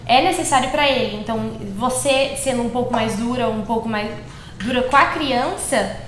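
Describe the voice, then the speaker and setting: Jovem mulher em sala com eco. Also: female voice, fairly high pitch, moderate pace, a bit bright. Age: young adult. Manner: supportive, direct advice. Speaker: former homeschooler, host's daughter. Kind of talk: casual chat. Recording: echoey room, faint noise.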